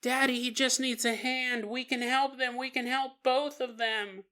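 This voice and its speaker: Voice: medium pitch, slow pace, bright, forceful tone, clean tone, voice pushed up. A storyteller voicing a terrified three-year-old girl who pleads insistently for help, repeating herself. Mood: desperate pleading.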